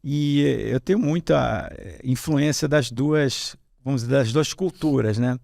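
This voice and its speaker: A man's voice: medium pitch, a little slow, gravelly, a little mellow, no room sound, studio quality. A middle-aged man with a Carioca accent, telling his life story in a casual interview, rambling with many fillers and restarts. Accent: Carioca Brazilian Portuguese. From Rio de Janeiro, Brazil. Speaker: hematologist guest.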